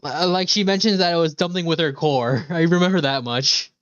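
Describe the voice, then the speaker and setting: A man's voice: fairly high pitch, brisk, fairly bright tone, dull audio, a little hiss or hum. A man streaming a game, chatting casually and thinking aloud in a rambling, hesitant way. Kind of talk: casual livestream chat while playing.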